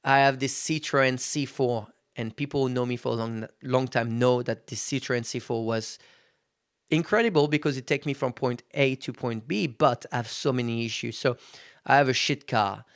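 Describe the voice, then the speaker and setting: A man in his thirties at an ordinary mid pitch, hosting his own podcast in a loose, unscripted monologue. He talks frankly, swears without restraint and keeps turning to his listeners as guys. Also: fairly even intonation, normal speed. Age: 30s. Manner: candid, swears freely, addresses listeners as guys. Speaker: podcast host. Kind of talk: casual motivational monologue.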